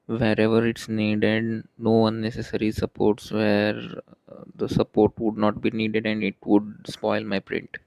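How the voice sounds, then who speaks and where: A man's voice: on the low side, creaky, a little mellow, no room sound, low-quality recording. A man narrating a maker project as an explanatory voice-over, in a plain, matter-of-fact way.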